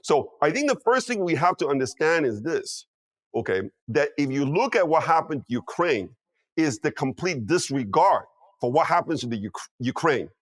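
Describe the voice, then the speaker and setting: A man's voice: fairly high pitch, very animated, moderate pace, dry recording. A man in his fifties giving emphatic interview commentary, often checking in with "okay?" and "you know what?". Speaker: guest strategist.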